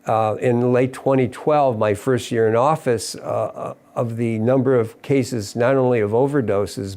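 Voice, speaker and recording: A middle-aged man with a voice of everyday pitch. He delivers a civic statement in an earnest, measured way, halting briefly and restarting his phrases as he goes. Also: a little mellow. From Ohio, USA. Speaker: mayor. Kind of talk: prepared civic statement to camera.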